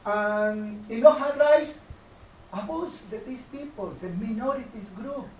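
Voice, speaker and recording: A woman from Colombia with a Colombian Spanish accent, a native Spanish speaker, speaking halting, simple English from the audience. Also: on the high side, a little mellow, slight background noise. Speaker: audience member. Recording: distant, roomy hall recording.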